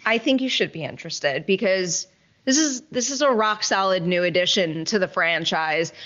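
A woman's voice: on the low side, animated, normal speed, fairly bright tone, highs cut off. A woman hosting a casual film review chat, speaking in an emphatic, enthusiastic, conversational way. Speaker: host and film critic.

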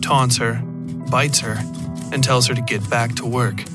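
A man reading scripted horror fiction as a podcast narrator, in a deliberate, literary storytelling manner. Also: medium pitch, steady tone. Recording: prominent music behind the voice. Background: music.

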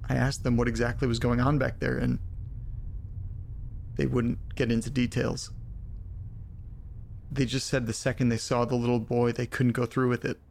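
Uneasy male actor as audio drama letter reader, creaky medium voice, deliberate storytelling, troubled and foul-mouthed. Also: mid-range voice, little pitch movement, a little mellow, slight background noise, lightly acted. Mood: tense, haunted. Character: troubled man reading strange letters aloud, profane and uneasy.

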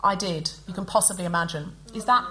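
A woman's voice: on the low side, quick pace, bright, forceful tone. A woman hosting a comedy podcast before a live audience, chatty and self-mocking.

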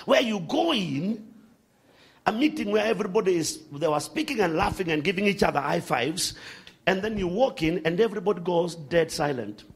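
Animated gravelly male preacher. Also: high-pitched, normal speed. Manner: animated preaching, swinging from shouts to hushed asides. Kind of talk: sermon.

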